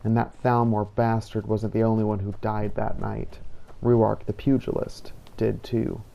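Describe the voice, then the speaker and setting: A man voicing a brooding, defiant fantasy hero, narrating his own game adventure in a deliberate, dramatic way. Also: fairly low pitch, level tone, creaky, highs cut off. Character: brooding male dragon-slaying hero, weary and defiant. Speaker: role-playing gamer narrating as his character. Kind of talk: first-person in-character scripted narration. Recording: faint background noise.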